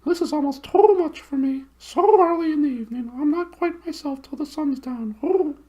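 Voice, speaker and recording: A man voicing a sleepy, fussy old male owl who hoots as he talks, in a very high strained falsetto. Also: moderate pace, mellow. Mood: fussy hooting. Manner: hooting, breathless.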